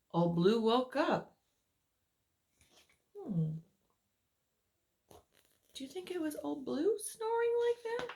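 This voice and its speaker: A high-voiced woman reads a story aloud for preschoolers. Her delivery is slow and very animated, with drawn-out, dramatic words and little asides to the children, as a teacher would give. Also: creaky.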